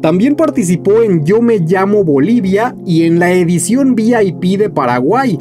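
Lively man narrating a countdown video. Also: high-pitched, moderate pace, music in the background. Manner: lively, announcing countdown entries. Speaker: music journalist and channel host. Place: small room at home, indoors.

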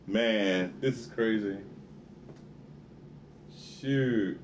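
A man's voice: on the low side, very slow, background noise. A middle-aged American man giving casual, exasperated live gameplay commentary, poking fun at himself as he talks to viewers. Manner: exasperated, self-deprecating, talking to himself and viewers. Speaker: video game streamer. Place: home room, seated indoors.

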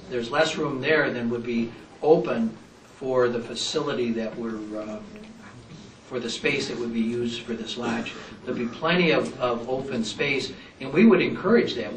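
A man's voice: mid-range voice, fairly bright tone. A man presenting a development proposal and answering questions from residents in a reassuring way. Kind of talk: answering questions at a public meeting. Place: meeting room. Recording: roomy hall, distant mic, audible room noise.

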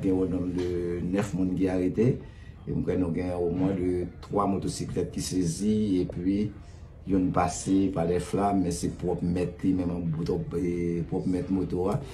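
A man in his fifties with a Haitian accent, answering interview questions as an official in an emphatic, deliberate, repetitive way over a video call. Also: sits low, little pitch movement, warm tone, slight background noise. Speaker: police official being interviewed. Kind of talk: interview answers mixing Haitian Creole and French.

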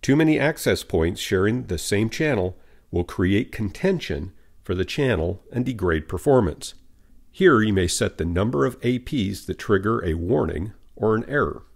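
A man narrating a scripted software tutorial in a measured, instructional manner. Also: on the low side, very animated, creaky.

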